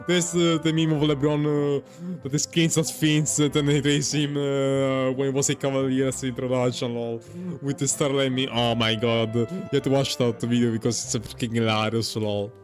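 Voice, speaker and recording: A man giving casual playthrough commentary on a video game in a rambling, halting manner. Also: medium pitch, little pitch movement. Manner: rambling, halting, says "lol" aloud. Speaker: gaming content creator. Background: faint game music.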